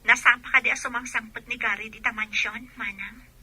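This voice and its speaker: A woman's voice: fairly high pitch, fairly even intonation, brisk, sharp tone, slightly rough, full character voice, poor audio. A woman playing a dutiful, emotional grown daughter in a radio drama, with a Filipino accent and an earnest, melodramatic delivery. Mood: firm, instructing.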